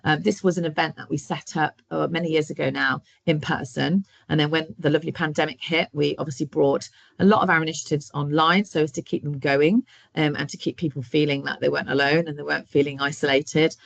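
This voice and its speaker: A man's voice: fairly high pitch, fairly even intonation, brisk, gravelly, fairly bright tone, dry recording. A man hosting a webinar over a video call, talking hesitantly with many restarts. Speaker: webinar host. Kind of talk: introducing a webinar.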